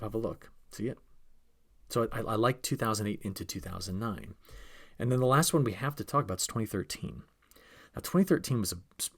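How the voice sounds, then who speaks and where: Low-pitched man, emphatic weather briefing. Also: vocal fry, husky. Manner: conversational, emphatic, asks rhetorical questions. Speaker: weather forecaster presenting an outlook. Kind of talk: informal explanatory weather briefing.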